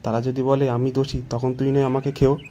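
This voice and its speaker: A man's voice: mid-range voice, level tone, brisk, warm tone, dull audio, slight background noise, lightly acted. A man playing a kind, frightened farmer in a children's cartoon story, earnest and anxious. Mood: frightened, reasoning. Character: kind, frightened male farmer reasoning for his life.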